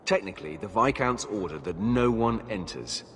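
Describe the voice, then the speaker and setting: An actor playing a gruff, weary guard captain in a video game, blunt and dismissive with an air of authority. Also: male voice, on the low side, lively intonation, normal speed, a bit bright. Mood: stern. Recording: long hall reverb, background ambience noise.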